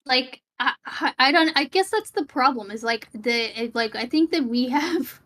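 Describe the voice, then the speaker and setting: A woman's voice: high voice, expressive, normal speed, a bit bright. A woman from Sri Lanka, a streamer, talking over a voice call in a casual debate, hesitant, with many fillers and restarts.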